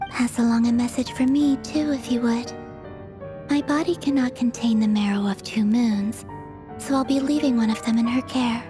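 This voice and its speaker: An actress playing a serene, weary, centuries-old moon goddess in a video game, speaking softly in a composed, formal way. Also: female voice, fairly high pitch, steady tone, moderate pace, music in the background, lightly acted.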